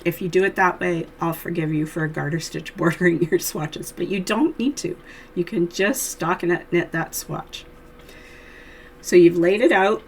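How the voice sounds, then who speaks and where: A woman with a hoarse voice from a cold, teaching knitting in a chatty, friendly how-to tutorial, with little laughs. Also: lowish voice, moderate pace, slight background noise.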